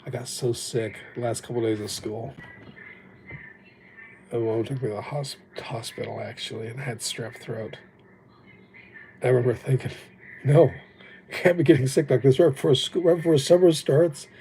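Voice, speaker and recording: A man's voice: medium pitch, normal speed, long pauses, warm tone, slight background noise, low-quality recording. A man whose first language was Japanese, chatting casually and reflectively on his livestream, rambling and poking fun at himself, recorded on an old phone. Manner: rambling, reflective, self-deprecating. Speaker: livestream host.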